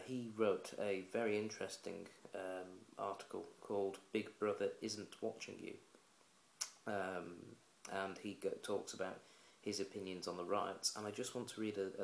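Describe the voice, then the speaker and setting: A low-pitched man with a gravelly edge talks bluntly and plainly, sharing strong opinions as a video blogger. His voice bounces around a roomy, echoey room at home. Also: flat delivery, normal speed, a little hiss or hum. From England. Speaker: vlogger. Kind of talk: opinionated casual commentary to camera.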